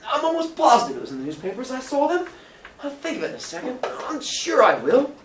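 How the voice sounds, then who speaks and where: Storybook role: polite young Englishman, put on by a reader; earnest, insistent, raised pitch, animated. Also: fast talker, a little hiss or hum. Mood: searching, excited.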